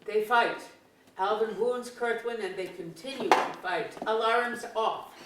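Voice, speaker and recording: A woman's voice: a little high, measured pace. A woman reading out scripted stage directions as narrator at a staged reading of a play. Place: museum auditorium, staged reading. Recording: very echoey, noisy distant auditorium.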